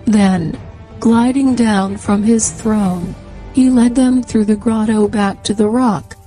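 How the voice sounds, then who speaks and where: A woman's voice: medium pitch, fairly even intonation, slow, dark tone, faint music behind. A woman narrating a scripted fairy tale as a storyteller.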